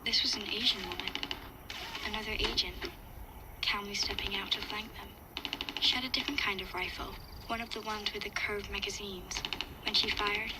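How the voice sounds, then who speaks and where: Woman playing young girl survivor telling her story, sombre n watchful, pretty flat, loud noise behind her. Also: medium pitch, lightly acted. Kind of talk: dramatic first-person audio log narration. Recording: loud ambient sound behind voice.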